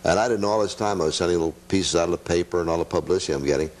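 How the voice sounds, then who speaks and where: Fast-talking, low-voiced older man. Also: darkish tone. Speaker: interview guest, veteran radio broadcaster. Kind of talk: casual anecdotal chat.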